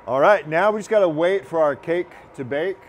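Chef teaching in a kitchen. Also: male voice, a little high, normal speed, slight background noise. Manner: relaxed, encouraging, talks while working. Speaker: culinary instructor. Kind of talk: cooking demonstration. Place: large teaching kitchen, indoors.

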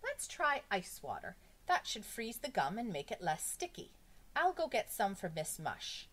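Woman reader as female schoolteacher, brisk, firm, exasperated, practical, raised pitch, lively intonation, storybook. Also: exaggerated voice.

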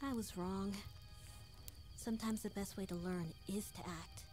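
A humble, thoughtful cartoon girl voiced by a woman, medium in pitch, with music playing clearly behind her. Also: steady tone, a little slow, lightly acted. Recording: cartoon playback under loud music.

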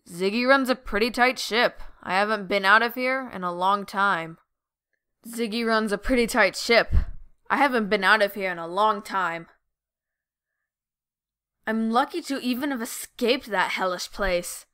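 A woman's voice: mid-range voice, pushed and bright, clear, resonant tone. A woman voicing a weary, guarded young female escapee, subdued and serious, for a dramatic audition. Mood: bitter, resigned.

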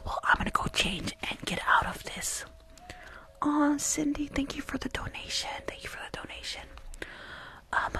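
Young woman, ASMR streamer, casual live chat, whispery, slow pace, close mic. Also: high voice, pushed and bright. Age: young adult. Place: small bedroom. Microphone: close furry-covered condenser microphone.

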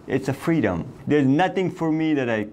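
A man's voice: fairly high pitch, lively intonation, moderate pace, darkish tone, slight background noise. A man in his thirties from Afghanistan, speaking English in a sit-down interview, earnest, with phrasing and restarts. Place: indoor office room.

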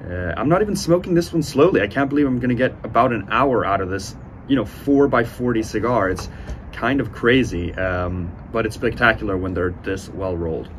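Young guy in his 20s, lowish voice, chatting thru a review outside, bit of outdoor noise behind. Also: lively intonation, a little fast. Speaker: cigar reviewer, host. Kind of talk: casual review vlog, talking to camera. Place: outdoors on a sunny porch by windows.

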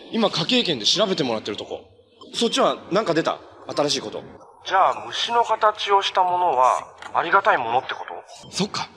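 A man's voice: high voice, fairly bright tone. An actor playing an easygoing, kind young male hero in a TV superhero drama, speaking in a friendly, earnest, casual way. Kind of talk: naturalistic Japanese TV drama acting. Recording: TV drama audio with noticeable background noise.

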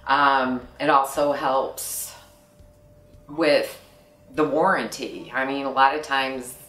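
A woman's voice: extremely low pitch, steady tone, a bit bright. A middle-aged woman from St. Louis, a customer service rep, talking casually in an office interview with many fillers and small laughs. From St. Louis, Missouri. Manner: halting, many fillers, laughs between thoughts. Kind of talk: casual company profile interview. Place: office room, indoors. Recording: roomy sound from a distant mic, faint music.

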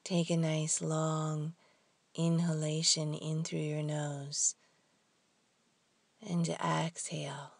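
Very slow, low, breathy woman guiding meditation. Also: flat delivery, no echo. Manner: soft, hushed and breathy, with long pauses. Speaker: guided meditation teacher. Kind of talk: guided meditation narration.

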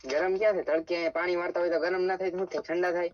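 Blunt, quick Gujarati man, higher-pitched voice. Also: little pitch movement, no echo, muffled recording. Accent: Gujarati, Saurashtra. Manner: stubborn, argumentative, blunt. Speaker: prank call target, car owner. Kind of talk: casual phone argument.

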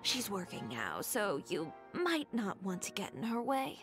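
A woman's voice: mid-range voice, wildly swooping pitch, measured pace. A woman voicing a composed young fashion designer in a game, speaking matter-of-factly. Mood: calm. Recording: game audio with clear music.

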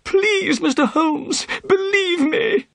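A man's voice: very high-pitched, slow, vocal fry, a bit bright, no room sound, voice pushed up, exaggerated voice. A man voicing a frightened, pleading man in an audiobook detective story, wailing as he talks. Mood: terrified, pleading. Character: frightened, pleading man wrongly accused of murder.

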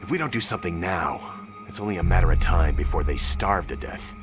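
Deep-voiced grim soldier. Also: male voice, animated, a little fast. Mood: grim, blunt. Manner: terse, blunt, weary. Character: grim, tough young male American soldier. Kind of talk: video game cutscene acting. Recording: music behind the voice.

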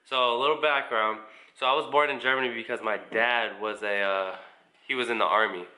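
A man's voice: mid-range voice, fairly even intonation, fairly quick, pushed and bright, low-quality recording. A man of about twenty with an American accent, chatting casually to camera as he answers viewer questions in a laid-back, informal way. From United States, mostly Indiana. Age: around 20. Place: small living room at home, indoors.

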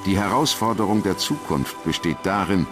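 A man narrates a documentary in a very deep voice. His delivery is scripted, measured and solemn. Music plays clearly behind him throughout. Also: animated.

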